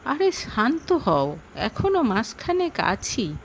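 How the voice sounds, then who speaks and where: A woman's voice: fairly high pitch, wildly swooping pitch, slow, a little hiss or hum, exaggerated voice, rough recording. A woman voicing an uneasy married woman who is firm with her lover, in a dramatic audio story. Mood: protesting. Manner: protesting, emphatic.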